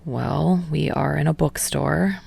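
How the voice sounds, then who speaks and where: A woman's voice: low-pitched, slow, vocal fry, darkish tone, husky, dry recording, voice pushed down, full character voice. A woman voicing a reserved, prickly young man in an audiobook, understated and dryly amused. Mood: dry amusement. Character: dry, reserved, prickly young male bookshop worker. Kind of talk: audiobook character voice.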